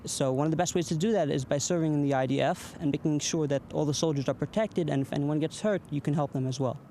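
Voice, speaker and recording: A man's voice: a little high, fast, mellow. A young man with an American accent, a soldier giving a personal interview answer. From United States. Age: young adult. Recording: outdoor urban traffic noise.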